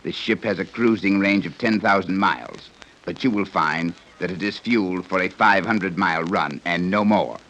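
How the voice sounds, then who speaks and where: Stern official of a hidden civilization: deep, forceful voice, precise formal delivery, vintage radio serial with some background noise. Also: male voice. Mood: coldly warning. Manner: precise, formal, measured explaining. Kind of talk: 1930s radio serial acting. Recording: noisy old 1930s radio recording.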